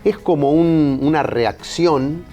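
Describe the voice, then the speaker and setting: A man, telling drink history in an animated conversational way and checking for agreement. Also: fairly high pitch, takes it slowly, lo-fi sound, soft background music. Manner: animated storytelling, seeking agreement with tag questions. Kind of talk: conversational food history explanation.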